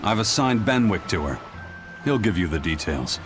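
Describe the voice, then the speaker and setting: Low-voiced, composed pirate first mate. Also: male voice, very animated. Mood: businesslike. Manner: measured, matter-of-fact. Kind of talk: dramatic game voice acting. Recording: music behind the voice.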